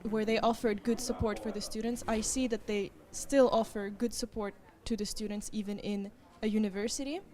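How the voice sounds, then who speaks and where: A young woman student answering interview questions, thoughtful and measured, pausing often. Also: medium pitch, steady tone, crowd noise. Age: young adult. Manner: thoughtful, with frequent pauses and fillers.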